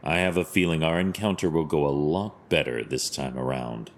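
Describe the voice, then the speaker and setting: An actor playing a stern, brooding masked crime-fighter with a terse, measured, solemn delivery in an audio drama. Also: male voice, extremely low pitch, noisy background. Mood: calm, resolute.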